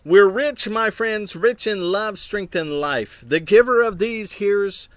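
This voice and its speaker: A man's voice: high-pitched, slow pace, fairly bright tone, dry recording, voice pushed up. A man voicing a joyful, devout older Kenyan woman who sings as she speaks in a storybook. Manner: sing-song and uplifting.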